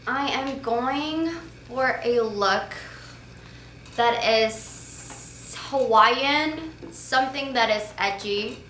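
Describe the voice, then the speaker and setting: A woman's voice: a little high, drawn-out words, a bit bright. A woman studying fashion design, explaining her styling plan in an earnest interview. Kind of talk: interview to camera. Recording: echoey room with faint noise.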